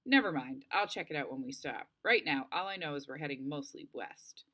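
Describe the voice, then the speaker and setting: A woman's voice: sits low, expressive, fairly quick, bright, forceful tone, heavily acted. A woman voicing a practical, level-headed young camper who guides a stranded friend, in a storybook read-aloud. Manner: matter-of-fact, reassuring. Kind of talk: storybook character voice.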